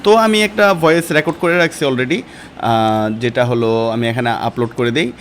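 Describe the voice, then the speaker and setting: A man with a Bangladeshi accent teaching a step-by-step software lesson in informal, colloquial speech. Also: medium pitch, moderate pace, a little hiss or hum. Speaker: online course instructor.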